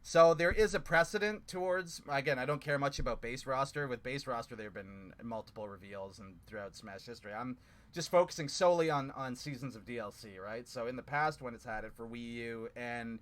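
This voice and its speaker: A man's voice: medium pitch, brisk, fairly bright tone. A man hosting a casual gaming chat on an online call, rambling with lots of fillers and the odd swear. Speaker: podcast host.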